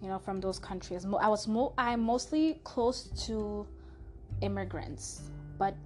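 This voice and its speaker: A young woman whose first language is French tells a personal story in a loose, chatty way, full of fillers and restarts. She speaks with a light French accent at a middle pitch. Music plays clearly underneath her. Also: unhurried, darkish tone. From Canada (Montreal). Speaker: podcast host.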